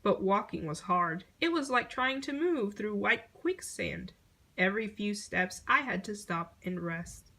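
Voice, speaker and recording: A woman reading a children's picture book aloud in an expressive storytelling manner. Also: mid-range voice, a little slow. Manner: expressive storytelling, acting out short exclamations.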